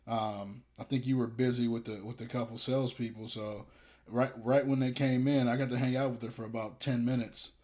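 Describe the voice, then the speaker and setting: Rambling young dad podcast co-host. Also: male voice, medium pitch, little pitch movement, a little fast, darkish tone. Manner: rambling, hesitant, self-deprecating jokes. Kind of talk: casual chat.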